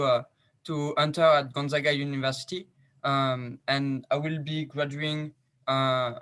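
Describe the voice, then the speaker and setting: A man's voice: medium pitch, fairly bright tone. A young man from France with a French accent, introducing himself hesitantly with many fillers, speaking on a video call. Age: young adult. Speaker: scholarship recipient.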